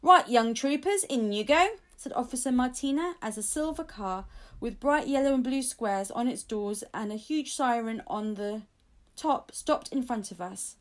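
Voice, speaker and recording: A woman's voice: a little high, moderate pace, a little mellow. A woman reading a children's story aloud as an audiobook narrator. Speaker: audiobook reader.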